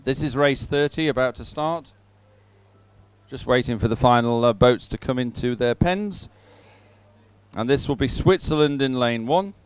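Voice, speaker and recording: A man presenting live sports commentary, pausing as he goes and adding upbeat, sentimental asides. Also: mid-range voice, smooth, no room sound. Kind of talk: live commentary on a dragon boat regatta.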